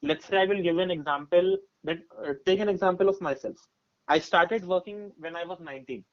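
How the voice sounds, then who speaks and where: Male, twenties, Indian accent. Fairly high-pitched, emphatic podcast guest talking through advice with personal examples; dull recording. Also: brisk. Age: 20s. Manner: emphatic, explanatory, often checking with "right?". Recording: dull, muffled lo-fi audio.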